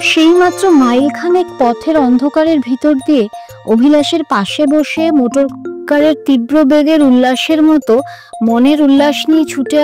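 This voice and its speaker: A woman reading a literary story aloud in a dramatic, expressive narrator's style. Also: high-pitched. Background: music.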